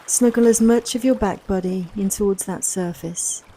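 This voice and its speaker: A woman leading a guided relaxation meditation in a soft, soothing, unhurried, reassuring manner, with long pauses between phrases. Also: medium pitch, slight background noise, low-quality recording. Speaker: guided meditation and yoga nidra teacher.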